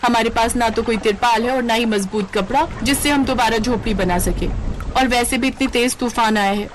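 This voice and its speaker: A woman's voice: on the high side, moderate pace, slight background noise, lightly acted, poor audio. Woman playing eager young slum girl. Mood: worried, agreeable.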